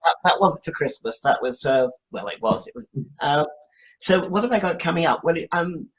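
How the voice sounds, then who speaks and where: An older man, a writer being interviewed, talking casually in a halting, modest way. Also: on the high side, animated, slight background noise, poor audio.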